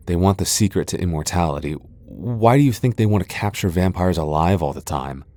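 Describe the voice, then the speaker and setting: A proud vampire lord acted out by a man speaks in a deep, dark-toned voice. He is sardonic and defiant, with a dry, sighing delivery suited to a fantasy ASMR roleplay. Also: creaky, exaggerated voice. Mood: scornful, exasperated. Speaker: voice actor in a roleplay audio.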